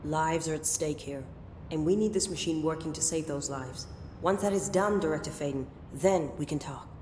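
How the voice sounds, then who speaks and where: A stern, middle-aged commander from a video game, acted by a woman, her voice somewhat low. She is measured, businesslike and used to giving orders. A faint rumble sits behind her. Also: a little mellow, natural voice. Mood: firm. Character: stern, pragmatic woman head of operations. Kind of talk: video game dialogue acting.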